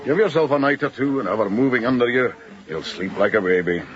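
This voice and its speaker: An actor playing an avuncular ship's mate in a radio drama, deferential yet blunt. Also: male voice, mid-range voice, lively intonation, fast, highs cut off, natural voice. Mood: affable, deferential. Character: ship's mate, affable to captain, scornful of crew. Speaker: ship's officer.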